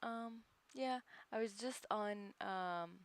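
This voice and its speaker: A woman's voice: mid-range voice, takes it slowly. A woman hosting a casual live stream, chatting hesitantly with viewers and laughing often. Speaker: livestream host studying along.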